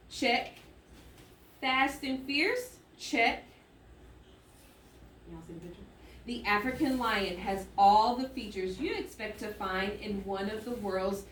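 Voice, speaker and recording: Casting: female elementary teacher, mid-pitched, instructive read-aloud with musing asides, recorded roomy and distant. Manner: thinking aloud with musing hums and exclamations; instructive, checking in with listeners. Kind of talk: interactive read-aloud lesson to children. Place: classroom with echo. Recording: roomy, distant mic, faint noise.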